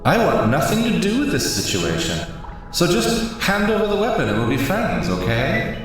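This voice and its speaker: A man's voice: high-pitched, wildly swooping pitch, moderate pace, pitched above the performer's own voice. A pleading male inner voice, as the narrator puts it on, wrapped in a very heavy echo. It swoops wildly up and down in a raised register, and faint music plays behind it. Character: narrator's own pleading echoing mind voice, male. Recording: very echoey processed voice.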